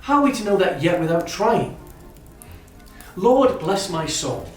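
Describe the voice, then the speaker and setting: An actor playing a kindly, hopeful Victorian gentleman and brother in his thirties, with formal, earnest phrasing in a literary drama. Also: high voice, very animated, unhurried. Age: 30s. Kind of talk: stage-style literary radio drama acting. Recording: very echoey, distant, music behind.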